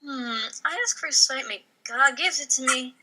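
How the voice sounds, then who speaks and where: A woman reading the part of a bold, flippant young woman who craves excitement in a mafia comedy table read. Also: sits high, moderate pace, husky, full character voice. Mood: flippant, eager.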